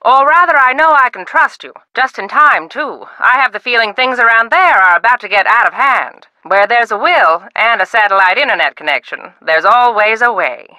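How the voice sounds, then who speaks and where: A woman's voice: a little high, expressive, normal speed, hardly any pauses, bright, forceful tone, dry recording, poor audio. An actress playing a theatrical, secretive older woman in a video game, grand and teasing.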